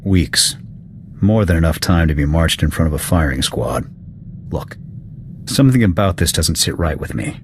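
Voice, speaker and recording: An actor playing a gruff, terse soldier in a video game, speaking in short, blunt military sentences. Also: male voice, extremely low pitch, lively intonation, moderate pace, a little hiss or hum. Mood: grim, troubled. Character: gruff, terse male soldier, loyal ex-sniper squadmate. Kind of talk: scripted video game cutscene acting.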